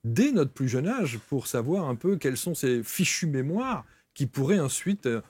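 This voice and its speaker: A man's voice: fairly high pitch, sing-song, moderate pace, a little mellow, husky, dry recording. Man hosting a talk show, dry sound.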